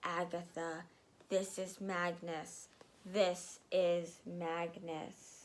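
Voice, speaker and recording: A woman voicing the ghost of a dead father speaking solemnly in a storybook reading. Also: level tone. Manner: slow, low, solemn.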